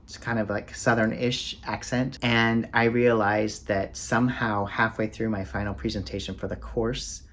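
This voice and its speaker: A man's voice: on the low side, little pitch movement, normal speed, few pauses, a bit bright. A man chatting casually in a book tag vlog, rambling and self-deprecating with plenty of ums and uhs. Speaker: book vlogger.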